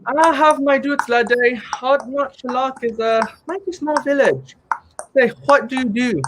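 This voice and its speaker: A man's voice: extremely high pitch, measured pace. A young man playing a folksy, doubtful cart driver in a 1930s-style radio play. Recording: noisy home recording with clicks.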